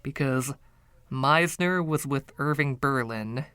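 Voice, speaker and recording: Man as slow-talking, exasperated pianist Andre. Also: mid-range voice, bright, forceful tone, clean tone, dry recording. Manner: incredulous, questioning. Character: exasperated, doubting male pianist narrator named Andre.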